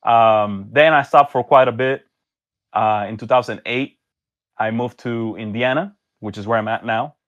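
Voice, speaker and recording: A man's voice: mid-range voice, fairly even intonation, a little slow, phone-quality sound. A man from Venezuela and Miami, a podcast guest telling stories in casual slang and acting out bits of dialogue. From Venezuela, later Miami. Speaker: podcast guest, tournament organizer. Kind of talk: casual storytelling chat.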